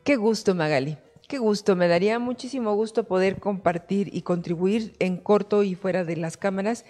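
A woman's voice: medium pitch, moderate pace, mellow, soft background music. A woman hosting a talk show, speaking in an affectionate, emotive and expansive way. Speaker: host.

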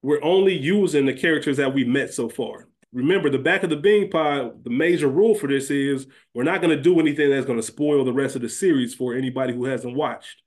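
A man's voice: medium pitch, fairly quick, hardly any pauses, darkish tone. A man hosting a podcast, chatting casually about television in an informal, slangy, conversational way. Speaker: podcast host.

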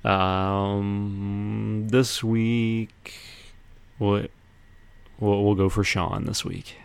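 A man's voice: lowish voice, level tone, extremely slow, gravelly, smooth. A man hosting a casual podcast with friends, bantering in a jokey, self-deprecating manner. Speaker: podcast host.

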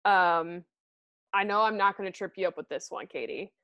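A woman's voice: mid-range voice, normal speed, sharp tone, clean tone, dry recording. A woman co-hosting a podcast, chatting casually and rambling with fillers and laughs. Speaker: podcast co-host. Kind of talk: casual chat.

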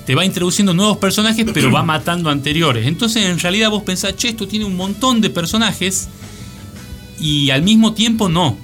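A man's voice: high voice, expressive, normal speed, fairly bright tone, faint music behind. A man with an Argentine accent chatting comically on a radio panel, colloquial and free with swearing. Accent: Argentine Spanish. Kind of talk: casual comic chat. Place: radio studio with music behind.

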